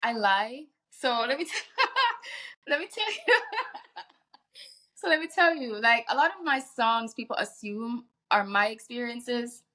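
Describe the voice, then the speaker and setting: A woman's voice: on the high side, animated, measured pace, long pauses, sharp tone. Woman in a laid-back interview, talks open and honest, laughs a lot. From Jamaica. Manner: candid, laughs often, restarts sentences. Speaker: guest singer. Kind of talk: casual interview chat.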